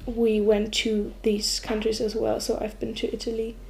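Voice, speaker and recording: A woman's voice: on the high side, completely flat delivery, moderate pace, creaky, darkish tone, a little hiss or hum. A sixteen-year-old young woman with a German accent, giving casual answers as an interview guest. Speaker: exchange student guest.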